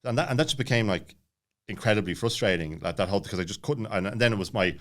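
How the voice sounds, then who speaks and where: A man in his late thirties with a lowish voice. He talks rapidly and casually, like a podcast guest telling his own story, often looping back and restarting his sentences. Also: animated, fairly bright tone. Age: late 30s.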